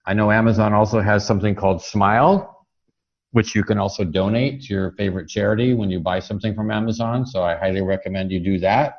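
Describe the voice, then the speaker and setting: A man's voice: fairly low pitch, fast talker, darkish tone. A man hosting a conversational interview, speaking in an effusive, appreciative way. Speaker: interview host. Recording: dull, muffled lo-fi audio.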